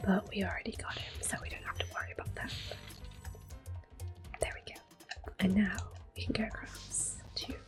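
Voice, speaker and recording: A woman whispering close to the mic as she calmly plays through an old game and recalls it fondly. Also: medium pitch, moderate pace, long pauses. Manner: soft whispering, gently nostalgic. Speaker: ASMR gameplay host. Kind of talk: whispered ASMR game commentary. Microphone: close sensitive mic. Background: faint game music.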